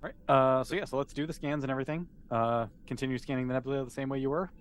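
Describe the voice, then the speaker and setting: A man's voice: mid-range voice, steady tone, racing. Man game-mastering casually, noisy recording. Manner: conversational, improvising as they go. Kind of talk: casual tabletop game narration.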